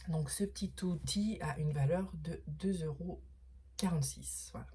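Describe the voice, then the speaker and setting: Middle-aged woman, hoarse and mellow, hesitant casual vlogger, occasional whispers. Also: deep voice, normal speed, slight background noise. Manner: hesitant, many fillers and restarts; drops to a whisper at times. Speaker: vlogger unboxing craft supplies. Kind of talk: casual unboxing talk.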